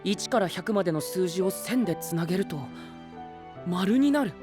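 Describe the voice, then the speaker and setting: Animated, grandiose young gentleman knight. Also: high voice, moderate pace, music in the background. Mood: impressed. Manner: formal, grandiose, with exclamations in English. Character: proud, theatrical young gentleman knight, generous with praise. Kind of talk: anime-style voice drama acting.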